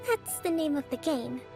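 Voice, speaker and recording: A gentle young priestess leader. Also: female voice, high-pitched, creaky, heavily acted. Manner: soft, patient, composed. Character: young female island priestess leader, calm and gracious. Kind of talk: video game voice acting.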